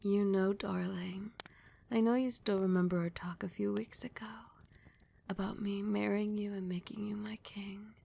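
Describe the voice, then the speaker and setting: A woman playing a doting, dominant, seductive vampire queen in a soft, breathy, often whispered ASMR roleplay, with slow, soothing endearments. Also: mid-range voice, little pitch movement. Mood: tender, intimate.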